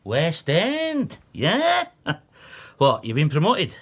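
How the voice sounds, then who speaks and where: An actor playing a cheeky, wheedling brother in trouble in a radio drama, with a husky voice and teasing manner. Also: male voice, on the high side, huge pitch swings, drawn-out words, fairly bright tone, exaggerated voice. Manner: teasing, pleading, chuckling.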